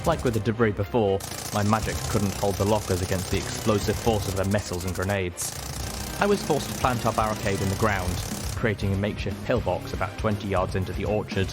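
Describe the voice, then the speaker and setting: A man's voice: fairly low pitch, steady tone, fairly quick. A man reading a story aloud as a dramatic first-person audiobook narrator. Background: music.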